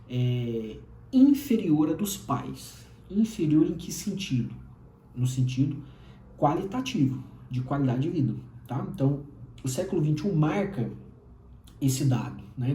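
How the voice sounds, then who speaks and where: A man's voice: medium pitch, mellow. A man in his thirties with a Brazilian accent, giving a lecturing solo commentary full of tag questions and fillers. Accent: Brazilian Portuguese. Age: 30s. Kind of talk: solo explainer monologue. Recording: roomy echo.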